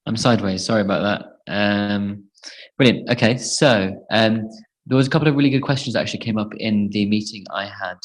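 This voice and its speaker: A man's voice: fairly low pitch, a little fast, low-quality recording. A man leading a conference call as a campaign organiser, giving an informal, practical explanation to volunteers.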